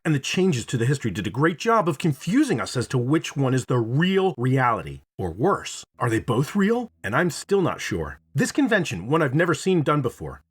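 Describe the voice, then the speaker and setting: A man reviewing a video game in scripted narration, dramatic and playful, with emphatic phrasing and drawn-out words. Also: medium pitch, husky.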